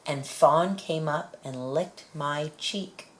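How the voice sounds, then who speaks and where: A woman reading a children's picture book aloud in an expressive storytelling manner. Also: low-pitched, slow. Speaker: storybook reader.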